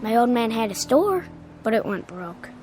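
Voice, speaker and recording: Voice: mid-range voice, very animated, moderate pace, a little mellow, a little hiss or hum, natural voice. A performer playing an eager, polite nine-year-old newsboy in a radio drama. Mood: eager, earnest. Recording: faint music behind the voice.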